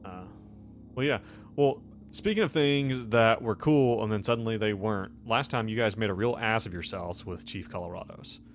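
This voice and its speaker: A man with a fairly low voice hosts a tabletop gaming podcast. He banters casually, teasing his friends and swearing freely, with faint music underneath. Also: normal speed. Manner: teasing, profane. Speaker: game master and host of a tabletop podcast.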